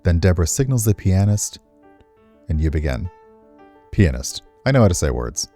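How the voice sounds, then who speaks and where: Low mellow guy reading a visual novel out loud, kinda theatrical, chatty asides, faint music behind. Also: very animated, normal speed. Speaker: game streamer. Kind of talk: reading visual novel text aloud, with casual commentary.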